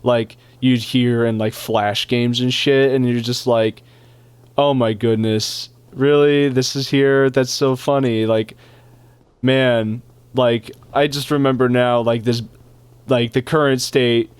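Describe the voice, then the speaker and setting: A man's voice: mid-range voice, fairly even intonation, slow, clear, resonant tone, no echo. A young man hosting a casual gaming chat, joking and swearing, with a speech impediment that mixes up his R and W sounds. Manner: joking, swears a lot, laughs often. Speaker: host playing a game.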